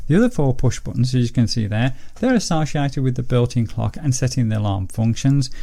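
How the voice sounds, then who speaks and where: A man's voice: medium pitch, gravelly, mellow. A man presenting a casual product review in a methodical, explanatory way. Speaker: host of gadget review videos.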